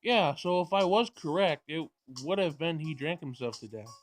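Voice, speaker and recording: A man's voice: a little high, expressive, normal speed. A man doing a let's play of a visual novel, reading dialogue aloud with casual commentary and stumbling over words as he goes. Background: game music.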